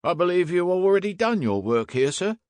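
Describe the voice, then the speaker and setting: A man's voice: high voice, big pitch swings, brisk, no echo, voice pushed up. A man voicing a stern, sardonic young Victorian police constable in an audiobook, pointed and disdainful.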